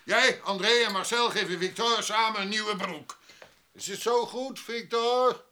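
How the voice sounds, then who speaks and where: Very high-voiced, brisk arbiter. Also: male voice, crowd noise. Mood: decisive. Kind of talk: radio drama acting.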